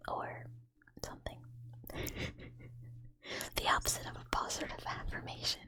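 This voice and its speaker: A young woman streamer chatting casually in a soft whisper, rambling and giggling between deadpan jokes. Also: a little slow.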